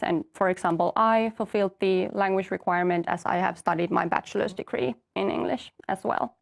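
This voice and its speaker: A young woman from Finland, a master's student, calmly explaining her path and the application steps in an info session. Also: medium pitch, gravelly. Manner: orderly, explanatory, light fillers. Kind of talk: answering questions in an info session.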